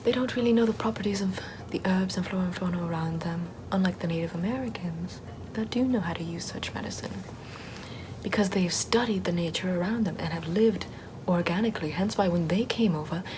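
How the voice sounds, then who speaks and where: A woman's voice: fairly low pitch, normal speed, background noise, natural voice. A woman playing a chill, sardonic Puritan-era Salem witch in a whispery, casual ASMR roleplay. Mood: scornful. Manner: hushed, often whispering, wry and casual.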